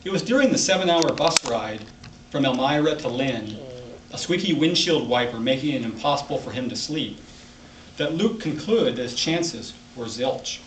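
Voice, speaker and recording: A man's voice: medium pitch, animated, normal speed. A man reading his own literary short story aloud to a live audience as its author. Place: library event room, indoors. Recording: echoey room, distant mic, background noise.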